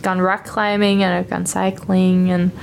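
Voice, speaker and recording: A woman's voice: fairly low pitch, flat delivery, unhurried, creaky, a little hiss or hum. A young woman from Denmark with a Danish accent, a native Danish speaker chatting in an interview about her exchange studies in an upbeat, talkative way. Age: young adult. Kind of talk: casual interview chat.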